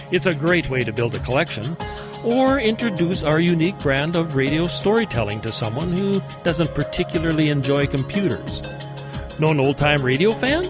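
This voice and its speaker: A male announcer delivers a scripted promo. His slightly high voice moves with lively intonation over a clear music bed.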